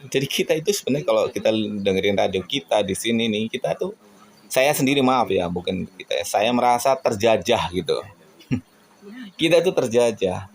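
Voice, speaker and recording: A man from Indonesia with a lowish, creaky voice speaks off the cuff to his viewers. He is earnest and reflective, feeling his way through sentences and turning to rhetorical questions. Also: lively intonation, moderate pace, a little hiss or hum. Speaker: radio hobbyist vlogger. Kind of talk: casual reflective monologue to viewers.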